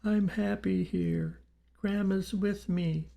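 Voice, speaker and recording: A man's voice: sits high, fairly even intonation, takes it slowly, gravelly, warm tone, voice pushed up, full character voice. A man voicing a frail young boy's ghost in an audiobook, with a wavering, comforting way of speaking. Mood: gentle, comforting.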